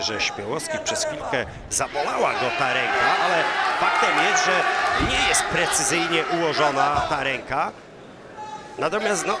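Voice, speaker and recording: A man giving live commentary on a cage fight, rising to shouts at big moments. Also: on the high side, very noisy. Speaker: MMA commentator. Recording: loud arena crowd and music.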